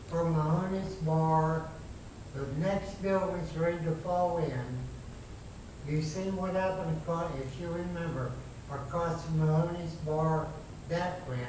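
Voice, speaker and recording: A man in his eighties making a loud, emphatic, rambling public comment at a city council meeting. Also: on the high side. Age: 80s. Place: large council chamber, speaking from a chair. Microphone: far room mic. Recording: echoey chamber from far off with hiss.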